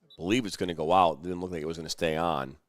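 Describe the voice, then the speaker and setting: A man's voice: deep voice, steady tone, fast talker, no room sound. A man calling a youth soccer match play-by-play, chatty and explaining referee decisions at length. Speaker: play-by-play commentator. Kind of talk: sports commentary. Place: commentary booth at soccer complex.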